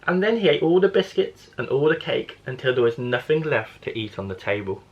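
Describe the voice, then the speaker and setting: A man's voice: medium pitch, expressive, fairly quick, husky, lo-fi sound. A man, a primary school teacher, reading a children's storybook expressively to young listeners.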